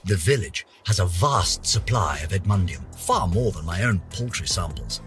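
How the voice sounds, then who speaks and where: A man's voice: sits low, expressive, moderate pace, fairly bright tone, faint music behind. An actor voicing a pompous, vain older British scientist, theatrically narrating his journal for a game with haughty, florid melodrama. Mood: fascinated. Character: pompous, vain, aging male scientist, indignant and obsessive.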